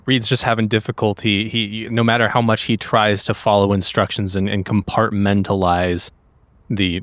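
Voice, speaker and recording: A man with a lowish voice describes what his character does in a tabletop game. He sounds thoughtful and halting, recorded very dry and close. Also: fairly bright tone, no room sound.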